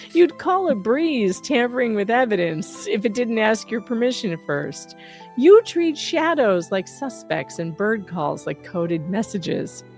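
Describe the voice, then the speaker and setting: A woman's voice: mid-range voice, a little mellow. Sarcastic woman reading over music. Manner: mocking, theatrical sarcasm; often laughs while reading. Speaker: host reading an open letter. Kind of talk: sarcastic scripted commentary read aloud.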